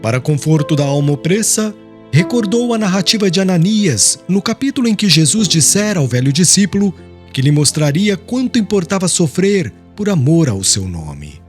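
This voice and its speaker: A man reads scripted literary narration for an audiobook. His voice sits a little high and moves expressively, formal and solemn, with soft music underneath. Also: sing-song, moderate pace.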